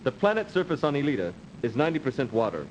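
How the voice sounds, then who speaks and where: An actor playing a dutiful, cautious officer who gives formal, measured briefings in a video game cut-scene. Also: male voice, mid-range voice, sing-song, close to an everyday voice. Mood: formal, serious. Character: dutiful, cautious male officer briefing his space commander. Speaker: military adviser in a video game. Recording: dull, noisy old CD game audio.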